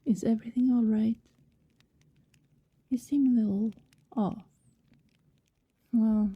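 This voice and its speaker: A woman playing a coy, affectionate vampire neighbor in an intimate ASMR roleplay, speaking gently and hesitantly, often dropping to a whisper. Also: medium pitch, breathy edge, highs cut off, heavily acted. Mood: gently concerned.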